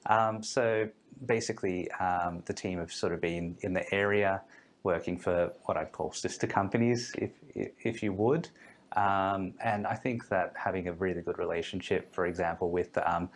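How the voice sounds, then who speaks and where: Deep-voiced man, halting. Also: steady tone. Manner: hesitant, many ums and restarts. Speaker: fund manager guest. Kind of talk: podcast interview.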